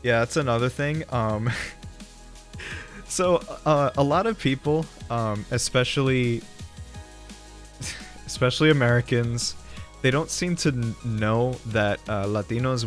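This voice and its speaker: Rambling, laughing young streamer guy. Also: mid-range voice. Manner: rambling and loose, laughs often, swears casually. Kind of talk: casual livestream chat, reading chat aloud. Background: faint background music.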